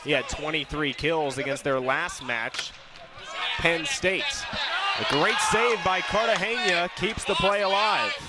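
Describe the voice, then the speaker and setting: Male play-by-play commentator, American, higher-pitched voice, lifts into shouts on big points, loud arena din behind. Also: unhurried. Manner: raises voice on big plays. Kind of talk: live sports commentary. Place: indoor sports arena during a match. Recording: loud gym noise, bouncing balls. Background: loud arena noise, balls bouncing.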